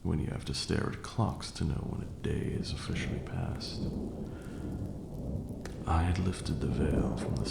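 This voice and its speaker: Man voicing a gravelly, abyssally deep vampire lord. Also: roomy sound, heavy background noise, heavily acted. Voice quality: gravelly, breathy murmur. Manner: theatrical, deliberate, brooding. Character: ancient brooding male vampire lord, cruel yet grieving. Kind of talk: slow dramatic ASMR roleplay monologue.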